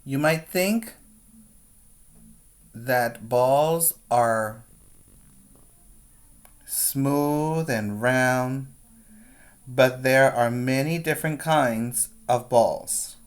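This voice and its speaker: A man teaching preschoolers, reading a picture book aloud with deliberate, instructional phrasing and short explanatory asides. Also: mid-range voice. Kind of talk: read-aloud for young children with explanatory asides.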